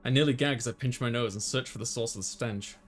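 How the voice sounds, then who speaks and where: A man hosts a gaming video, reading visual novel narration and dialogue aloud. His pitch sits at a middling level, and he slips in casual asides. Music plays behind him. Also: quick pace.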